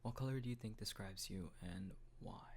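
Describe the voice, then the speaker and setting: A man, a voice actor, answering fan questions in a soft, half-whispered way, hesitant and full of pauses. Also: on the low side, slight background noise. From Texas, US. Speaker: voice actor, channel host.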